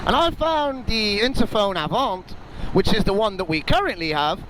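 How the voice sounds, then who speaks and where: Male vlogger, casual and emphatic, lively swooping intonation, noticeable background noise in the recording. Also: high-pitched, moderate pace. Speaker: motorcycle vlogger. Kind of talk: casual opinion vlog to camera.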